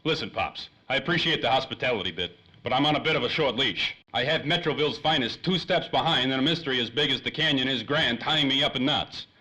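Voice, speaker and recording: A man's voice: medium pitch, fast, bright, forceful tone, lightly acted, rough recording. A man playing a tough, wisecracking private detective with brash, slangy delivery in 1930s radio play style. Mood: brash, impatient.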